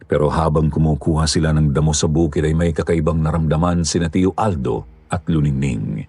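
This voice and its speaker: A man narrating a horror story in a steady, measured storyteller manner. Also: rumbling low, slightly rough, no echo.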